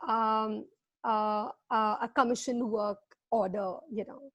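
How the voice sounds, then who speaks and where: A woman's voice: a little high, fairly even intonation, slow, fairly bright tone, no room sound, lo-fi sound. A woman from India co-hosting a casual chat show, bubbly and eager as she asks questions. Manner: bubbly, eager interviewer with fillers. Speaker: co-host.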